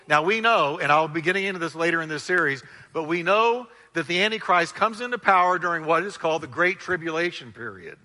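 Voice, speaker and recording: A pastor preaching a sermon in a loud voice, with emphatic, dramatic delivery and stressed, drawn-out words. He calls on the congregation to repeat phrases after him. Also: on the high side. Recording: long hall reverb.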